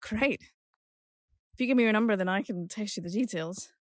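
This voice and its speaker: An actress playing a friendly, forthright young flatmate in casual audio drama dialogue. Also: female voice, mid-range voice, brisk, no echo, clean studio sound, lightly acted.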